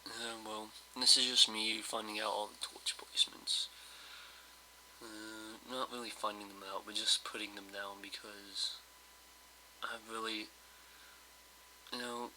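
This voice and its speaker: Male gameplay host, slightly hoarse, bright tense tone, monotone, rambling and hesitant delivery. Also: on the low side, slow pace, slight background noise, poor audio. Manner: rambling, hesitant, full of fillers. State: slightly hoarse, just woke up. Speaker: let's play host. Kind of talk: casual gameplay commentary.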